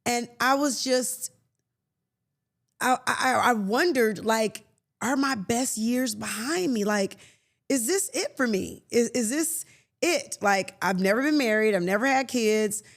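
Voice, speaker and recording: A woman's voice: on the high side, unhurried. Earnest woman in her late fifties. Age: 50s. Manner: earnest and conversational, with soft laughs and restarts. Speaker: podcast host. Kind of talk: reflective faith podcast monologue.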